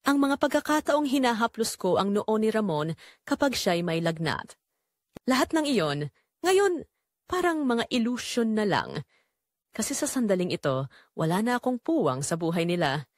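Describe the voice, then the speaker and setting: A woman's voice: fairly high pitch, sing-song, normal speed, dry recording, studio quality. Woman acting anguished mother with dramatic swings. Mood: grieving. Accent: Filipino. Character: guilt-ridden Filipina mother and wife confessing her betrayal. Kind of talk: dramatic first-person audio drama narration.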